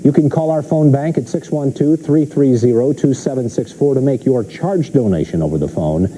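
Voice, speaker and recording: A man's voice: medium pitch, expressive, moderate pace, mellow, slightly rough, poor audio. An American man anchoring a TV newscast with scripted delivery. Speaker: news anchor.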